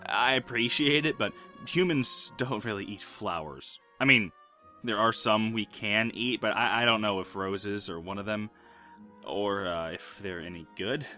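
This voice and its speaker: A man's voice: fairly low pitch, a little slow, bright, forceful tone, faint music behind. A man playing a polite, awkward human who stammers hesitantly in a fan fiction audio drama. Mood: flustered, polite.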